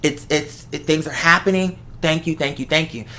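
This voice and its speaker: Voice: mid-range voice, normal speed, pushed and bright, slight background noise. A host talking casually and from the heart, emphatic and given to repeating phrases for effect. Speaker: host of the show; artist who makes videos and music.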